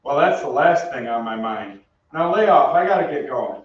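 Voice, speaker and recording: A man's voice: mid-range voice, lively intonation, moderate pace, lo-fi sound, lightly acted. A man playing a brash, impatient young soldier with blunt, slangy talk in an old-time radio play. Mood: annoyed. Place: theatre stage, heard from off. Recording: very echoey distant stage, noisy.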